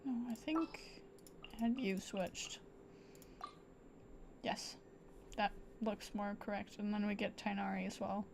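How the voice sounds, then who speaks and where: A woman's voice: medium pitch, steady tone, slow pace, creaky, long pauses, a little mellow, a little hiss or hum. A woman doing casual live gameplay commentary, thinking aloud in short, playful fragments. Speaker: game streamer.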